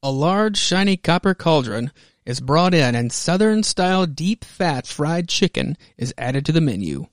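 Higher-pitched American man narrating, dry sound. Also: sing-song, measured pace, no echo. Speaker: podcast host. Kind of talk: theatrical comic-book narration with casual commentary.

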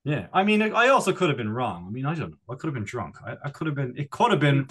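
A man's voice: medium pitch, sing-song, fast, fairly bright tone, slightly rough. A man chatting casually as a comedy podcast co-host, rambling and digressing with a jokey manner.

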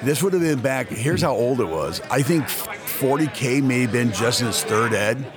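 A man's voice: mid-range voice, lively intonation, moderate pace. A man in his fifties, a store owner chatting casually in an interview, rambling and repeating words as he starts sentences. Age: 50s. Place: convention hall. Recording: busy convention crowd behind.

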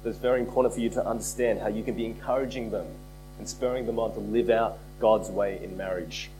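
A man preaching a sermon as pastor, hoarse from a cold, earnest and repeating key phrases for emphasis. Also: lowish voice, steady tone, fast talker, music in the background, rough recording. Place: church.

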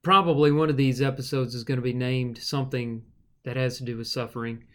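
A man's voice: medium pitch, fairly even intonation, fairly quick. A man preacher co-hosting a casual Bible study podcast, thinking aloud with fillers and restarts.

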